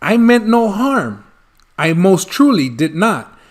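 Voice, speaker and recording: A man's voice: sits high, big pitch swings, slow pace, exaggerated voice. A man voicing a greedy, defiant storybook businessman, boastful and insistent about his growth. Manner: boastful, insistent, repetitive emphasis. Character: greedy, defiant businessman justifying his ever-growing factory.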